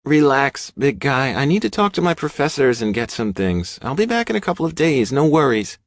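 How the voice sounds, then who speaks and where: Man putting on a lighter, higher young woman. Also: big pitch swings, moderate pace, no room sound, clean studio sound. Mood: breezy, reassuring. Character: confident young college woman, teasing and defiant.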